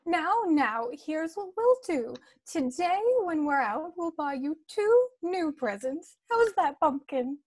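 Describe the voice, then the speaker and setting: A woman's voice: sits high, expressive, slow pace, few pauses, a little mellow. A woman playing a fussy mother who dotes and snaps, in an amateur screenplay table read. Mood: cooing. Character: doting, fussy mother and snappish aunt.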